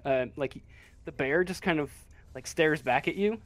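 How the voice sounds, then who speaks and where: A man's voice: a little high, moderate pace. A man improvising narration as game master of a tabletop game, hesitant and often searching for words.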